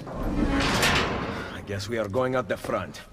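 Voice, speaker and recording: A man's voice: medium pitch, wildly swooping pitch, slow pace. An actor playing a resolute male assassin hero with an Italian accent, decisive and earnest in a video game drama. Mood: concerned. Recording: long reverb, music behind.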